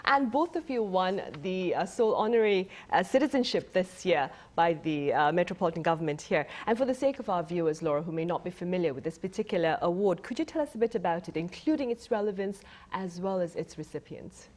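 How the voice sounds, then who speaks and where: A woman's voice: lowish voice, animated, a little fast, few pauses, a little mellow. Polished woman TV interview host. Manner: polished, formal phrasing. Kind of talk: broadcast interview hosting. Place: TV studio.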